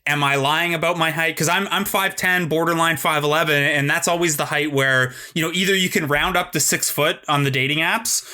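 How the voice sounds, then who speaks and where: A man's voice: fairly high pitch, fairly even intonation, a little fast, hardly any pauses, sharp tone. A man, chatting casually and asking questions as a podcast host. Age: 30s. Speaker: podcast host, sports journalist. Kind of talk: casual chat, interviewing.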